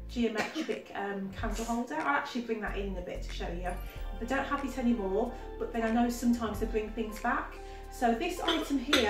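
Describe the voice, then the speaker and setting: A woman's voice: on the high side, far from the mic. A young woman with a Brummie accent chatting in a casual home haul video, enthusiastic and full of little laughs and ums, filmed in her living room. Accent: Birmingham (Brummie). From West Midlands, England. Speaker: vlogger, makeup artist. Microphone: camera mic at a distance. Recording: roomy echo from off-mic.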